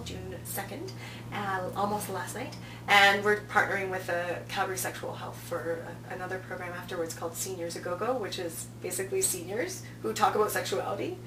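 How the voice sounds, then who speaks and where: A woman speaks in a casual promotional interview, conversational and emphatic on key words. Her voice is mid-pitched and heard from a distance in a roomy space, with noticeable noise behind her. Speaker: interview guest, film festival programming director. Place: indoor room, on-location video interview. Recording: roomy, distant mic, clear background noise.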